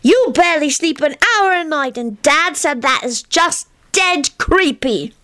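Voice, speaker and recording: A woman's voice: high voice, animated, a little slow, pushed and bright, voice pushed up, full character voice. A woman voicing Teddy, an earnest, sulky young boy who speaks with indignant feeling. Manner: indignant, earnest child talk.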